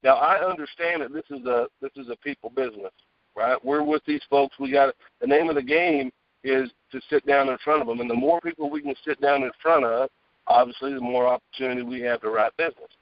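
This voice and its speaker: A man giving an emphatic, conversational motivational sales talk over a phone earpiece, often checking in with a quick question. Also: mid-range voice, lively intonation, vocal fry, no echo. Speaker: guest speaker, sales director. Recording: dull lo-fi phone earpiece call.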